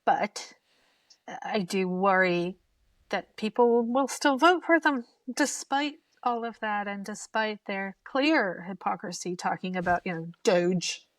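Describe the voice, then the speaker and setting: A woman's voice: mid-range voice, slow, no echo. A woman from Wales with a Canadian-tinged accent, a guest on a political podcast, talking earnestly with dry asides. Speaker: guest journalist. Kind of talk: casual political chat.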